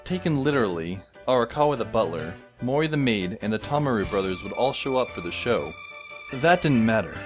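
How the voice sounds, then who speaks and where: A man's voice: medium pitch, animated, brisk, faint music behind. A man narrating a story in the first person with a dry, sardonic manner.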